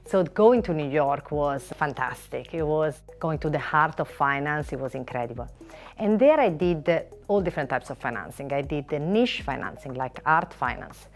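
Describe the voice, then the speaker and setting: Low-voiced Italian woman lawyer. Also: expressive, soft background music. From Italy. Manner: measured, listing items with frequent "uh" pauses. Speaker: finance lawyer. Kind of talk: panel interview answer.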